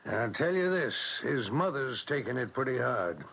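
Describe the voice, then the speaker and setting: An actor playing a gossipy, grumbling older rural father with a gravelly, husky voice, folksy and rambling, in an old-time radio drama. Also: medium pitch, sing-song, normal speed, fairly bright tone, slight background noise. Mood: grumbling, worried. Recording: dull old radio recording.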